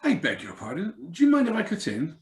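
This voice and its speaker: A man's voice: high-pitched, huge pitch swings, fast talker. A man as a suave, swooping blackmailer, roomy sound. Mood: smooth, taunting. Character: suave, sneering male blackmailer, mockingly polite. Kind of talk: live radio drama reading.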